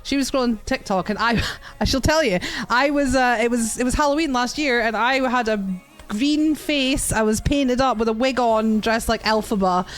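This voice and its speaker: A woman's voice: on the high side, moderate pace. Chatty woman in her forties hosting a casual chat, faint music behind. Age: 40s. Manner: chatty, laughs often, swears casually. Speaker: podcast host and streamer.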